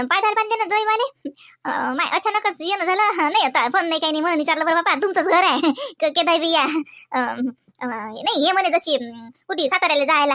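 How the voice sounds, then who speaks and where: A woman's voice: high voice, pushed and bright, no echo, clean studio sound. A woman voicing a flustered, anxiously hospitable middle-aged mother-in-law in a comedy cartoon, stammering and apologetic, with a Vidarbha Marathi accent.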